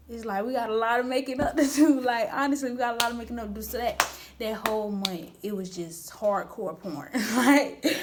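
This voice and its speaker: A young woman of twenty tells a personal storytime straight to her viewers. She talks candidly and casually, full of slang, and rambles with frequent restarts. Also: fairly high pitch. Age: 20s. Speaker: vlogger.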